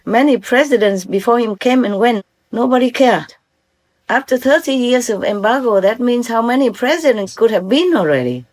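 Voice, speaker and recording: A woman's voice: on the high side, expressive, normal speed, a little mellow. An older woman from Vietnam with a Vietnamese accent, giving an earnest, informal talk as a spiritual teacher and often checking that her listeners follow.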